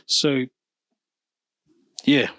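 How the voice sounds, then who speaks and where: A man, a podcast co-host answering questions thoughtfully, pausing and correcting himself. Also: sharp tone, no echo. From New Zealand. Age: 30s. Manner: thoughtful, hesitant, self-correcting. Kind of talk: reflective interview answers.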